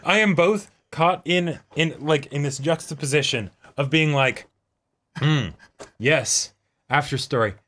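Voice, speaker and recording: Bright, forceful man bantering playfully. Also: a little high, a little slow. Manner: playful, interjecting, acts out imagined dialogue. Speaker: reaction show co-host. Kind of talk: casual banter about an anime episode.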